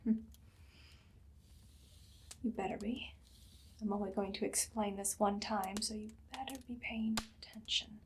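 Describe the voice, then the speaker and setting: A woman voicing an imperious, menacing evil queen in a whispered ASMR roleplay, speaking softly and deliberately with a condescending, commanding air. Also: medium pitch, steady tone, a little hiss or hum, close to an everyday voice. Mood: stern, threatening. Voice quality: breathy, soft, much of it whispered.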